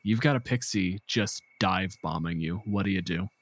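A man's voice: sits low, moderate pace, no room sound. A man running a tabletop roleplaying game, narrating informally as game master. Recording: music behind. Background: background music.

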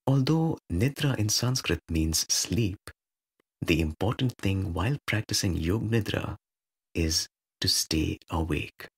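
A man with a low, creaky and husky voice leads a guided meditation. He is calm and measured, giving soothing instructions in short phrases with long pauses between them.

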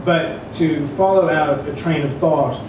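Echoey, higher-voiced man introducing a reading. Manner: halting, searching for words, digressive. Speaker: host introducing a literary reading. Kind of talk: informal spoken introduction before an audience. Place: indoor event room, at a standing microphone. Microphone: stand microphone, picked up from a distance. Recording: echoey room, distant mic, clear background noise.

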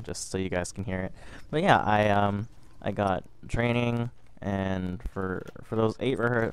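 Young man, a bit under the weather, casual rambling storyteller over game footage, low-pitched. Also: clear, resonant tone. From Canada. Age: young adult. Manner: rambling, repeats words and restarts often. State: a little sick. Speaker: gaming vlogger.

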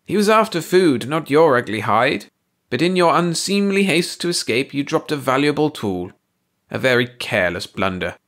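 A man's voice: on the high side, very animated, moderate pace, voice pushed up. A man doing a smug, piping alien. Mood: smug, amused. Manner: smug, precise, gently mocking. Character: smug bald male alien, amused and lawyerly.

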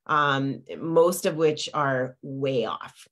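Low-voiced congested woman on a webcam. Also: drawn-out words, fairly bright tone. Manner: chatty, many fillers and tag questions. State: congested, lingering cough. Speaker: business coach. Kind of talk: live-streamed coaching talk. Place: home office. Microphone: video call webcam.

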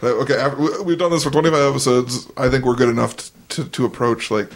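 A man's voice: medium pitch, steady tone, fast talker. A man interviewing a podcaster, asking long, searching questions in a chatty, laughing way.

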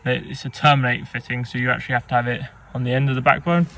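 A young man casually narrating electrical work on his small sailboat outdoors, relaxed and rambling with ums and self-corrections, recorded on an action camera. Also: medium pitch, quick pace. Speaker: sailing vlogger. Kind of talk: casual how-to narration while working.

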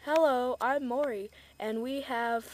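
A woman playing a composed, nosy talk-show host in an improvised puppet show, presenting plainly and deliberately. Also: a little high, fairly even intonation, over loud music, close to an everyday voice, poor audio. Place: store floor among toys. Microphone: handheld camera.

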